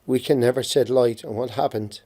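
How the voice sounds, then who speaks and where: A man in his forties with a Dublin accent reads a prepared poetic eulogy to his sister, solemn and halting in places. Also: medium pitch, fairly even intonation, mellow. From Ireland. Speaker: bereaved brother giving a tribute.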